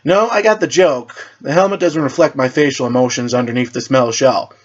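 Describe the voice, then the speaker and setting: A man's voice: medium pitch, brisk, highs cut off, close to an everyday voice. A man voicing a casual, matter-of-fact metal-armored robot hero in a superhero story. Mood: friendly, matter-of-fact.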